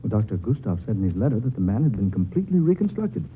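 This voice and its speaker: Curious, excitable leading man, old radio horror, lively theatrical inflection, narrow phone-like sound. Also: fairly low pitch, fast talker. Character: earnest, curious, excitable man exploring a museum. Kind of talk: 1940s radio horror acting. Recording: tinny, noisy vintage radio recording.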